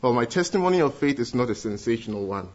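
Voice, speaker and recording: A man's voice: mid-range voice, animated, a little mellow, highs cut off. Earnest Ghanaian man giving testimony. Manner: earnest, with filled pauses and restarts. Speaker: guest speaker giving testimony. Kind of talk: church testimony and prayer.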